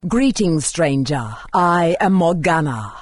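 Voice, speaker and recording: A woman voicing an aloof, composed, self-reliant warrior in a video game, speaking formally and in a measured way. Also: low voice, very animated, dry recording, exaggerated voice.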